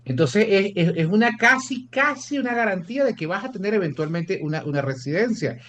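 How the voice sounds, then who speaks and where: A man's voice: high voice, lively intonation, fairly quick, fairly bright tone. A Venezuelan-born man, an immigration lawyer, explaining procedures in an interview, often repeating words as he restarts. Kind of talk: interview answers.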